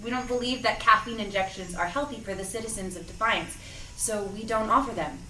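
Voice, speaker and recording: A woman's voice: mid-range voice, a little fast, sharp tone, recorded from a distance, a little hiss or hum, close to an everyday voice. A woman playing a polite, uneasy young shop worker in an audio drama table read, courteous and hesitant. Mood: polite, firm. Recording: echoey room, off-mic, music behind.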